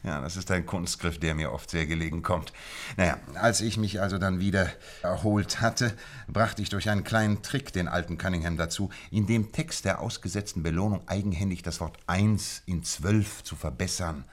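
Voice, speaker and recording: Deep-voiced, shrewd gentleman detective. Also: normal speed. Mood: confident explaining. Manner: eloquent, methodical explaining with theatrical emphasis. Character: shrewd, self-assured gentleman detective, explaining his deductions. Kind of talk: German radio drama acting.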